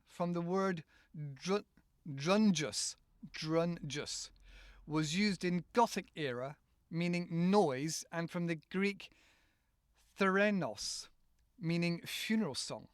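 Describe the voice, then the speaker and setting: A man's voice: sits high, takes it slowly, clear, resonant tone, no echo, clean studio sound. English man hosting an unscripted podcast. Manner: rambling, self-correcting, casual. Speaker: podcast host.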